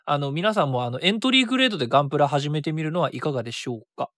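Animated, fast-talking radio host. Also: medium pitch, no echo, studio quality. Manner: chatty, self-correcting, thinks aloud. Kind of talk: casual solo radio chat about a hobby.

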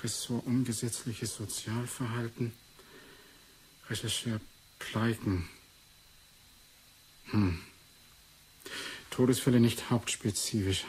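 A grumpy reluctant researcher doctor, mutters a lot, old 80s radio drama, slight noise behind. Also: male voice, on the low side, low-quality recording. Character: grumbling, reluctant male neuropsychology researcher and doctor. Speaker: researcher character in a radio play. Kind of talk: 1980s German radio drama acting.

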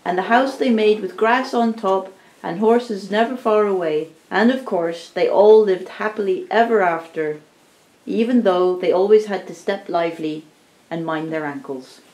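A woman narrating a storybook tale live for a puppet show, in a deliberate, theatrical storytelling manner. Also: medium pitch, a little mellow. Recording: roomy, distant amateur recording.

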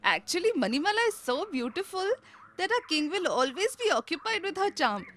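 A woman voicing a polite, scheming royal servant in a children's cartoon, speaking deliberately. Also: very high voice, big pitch swings, a bit bright, a little hiss or hum, heavily acted. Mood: doubtful.

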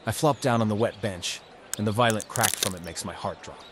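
A man's voice: lowish voice, a little fast, background noise. A man reading first-person scripted narration in a brooding, sardonic manner. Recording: music under the voice. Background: music.

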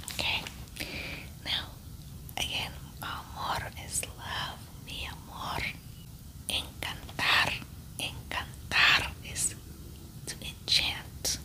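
A woman with a Spanish-speaking accent, rolling her r's, whispers slowly through a Spanish vocabulary lesson in an ASMR style, repeating each word with care. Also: poor audio. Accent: Spanish-speaking, rolls her r's. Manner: slow, soft whispering with careful repetition. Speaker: Spanish teacher.

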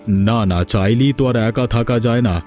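A man's voice: mid-range voice, moderate pace, darkish tone, lightly acted. Man acting troubled village man, earnest, plaintive cartoon dialogue, music behind. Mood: apologetic. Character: village men, apologetic guest and aggrieved householder. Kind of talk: dubbed Bengali cartoon dialogue.

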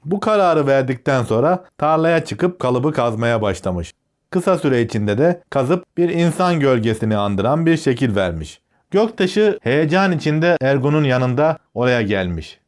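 A man narrating a children's fairy tale in a deliberate, explanatory storytelling manner. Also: medium pitch.

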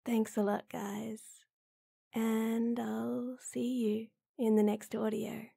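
Husky woman signing off to listeners. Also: fairly high pitch, flat delivery, slow, mellow. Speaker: audio creator. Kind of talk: channel sign-off to listeners.

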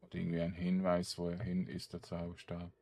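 A man's voice: low voice, monotone, a little fast, darkish tone. A man giving casual gameplay commentary, thinking aloud in short, loose fragments. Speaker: gamer streaming a let's play.

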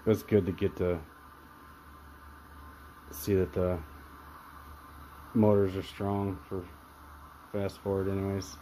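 A man's voice: low voice, flat delivery, takes it slowly, pauses often, warm tone, a little hiss or hum, poor audio. A man giving a casual, off-the-cuff product demonstration of audio gear, speaking from behind a handheld camera in a room.

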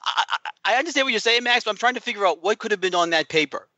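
A male podcast co-host with a fairly high, lively voice and a wry, joking way of talking. His tone is bright and the recording is dry. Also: racing, no echo, dull audio. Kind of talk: casual podcast chat.

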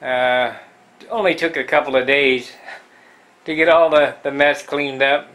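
A man giving a casual, rambling tour of his home model-airplane workshop, halting with fillers and clearing his throat, recorded on a handheld camera. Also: medium pitch, steady tone, fairly bright tone. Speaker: model airplane builder, channel host. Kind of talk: casual shop tour vlog. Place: cluttered home hobby workshop, indoors.

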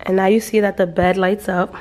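A woman's voice: medium pitch, flat delivery, fairly quick, darkish tone. A woman chatting casually to viewers as she gives a tour of a hotel suite and its balcony. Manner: chatty, addresses viewers as y'all. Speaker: travel vlogger co-host. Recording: noisy room ambience.

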